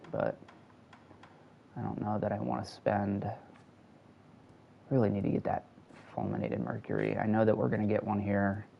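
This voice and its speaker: Gaming host, male, low gravelly voice with a rasp, quiet close-mic delivery, faint hiss behind. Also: muffled recording. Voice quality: raspy. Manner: talks close to the mic, keeps his voice down. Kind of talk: casual live gameplay chat. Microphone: console headset or close mic.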